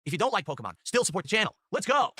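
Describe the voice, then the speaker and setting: Man, streamer host, casual chat, high-ish voice, upbeat pitching. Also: very animated, rapid-fire, sharp tone, no room sound. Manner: upbeat hype pitching for tips.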